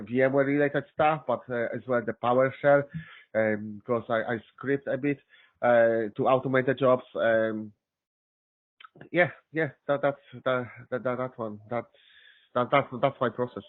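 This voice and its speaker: Polish-speaking man on a tinny call line. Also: mid-range voice. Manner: chatty, halting restarts, laughs often. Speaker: guest, systems engineer. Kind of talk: casual interview chat. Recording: tinny phone-like call audio.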